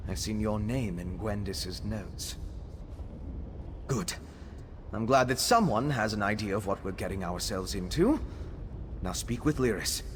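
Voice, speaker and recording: A man's voice: lowish voice, noisy background. Anxious bookish young nobleman. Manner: hesitant, wry, understated. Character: anxious, bookish junior member of a noble house. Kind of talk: video game quest dialogue. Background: prominent background music.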